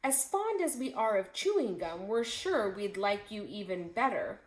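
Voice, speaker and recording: Give this woman's take on a menacing storybook tiger a smug, mock-polite taunt. The delivery is slow and swoops up and down, hungry and sly. It sounds recorded in an echoey room. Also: medium pitch, sing-song. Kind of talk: children's storybook read-aloud. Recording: echoey room with faint noise.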